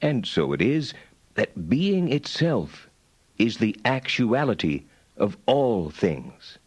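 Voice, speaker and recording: An actor playing a solemn medieval philosopher-theologian in an audiobook, reciting his doctrine in a measured, declamatory manner. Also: on the low side, very animated, husky, dry recording, exaggerated voice. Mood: solemn, assured. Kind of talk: dramatized reading of philosophical writings.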